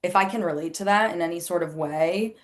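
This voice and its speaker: A woman's voice: fairly low pitch, brisk. A woman whose first language is Spanish, an astrologer and life coach chatting candidly as a podcast guest, filling her talk with "like". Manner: chatty and candid, many "like" fillers, acts out quoted remarks. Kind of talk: casual interview chat.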